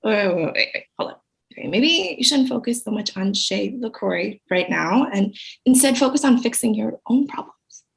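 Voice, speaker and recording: Woman playing raspy, blunt streetwise friend. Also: a little high, lively intonation, normal speed, full character voice, rough recording. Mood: urgent, exasperated. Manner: blunt, slangy. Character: blunt, streetwise, foul-mouthed friend talking sense. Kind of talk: screenplay table read acting.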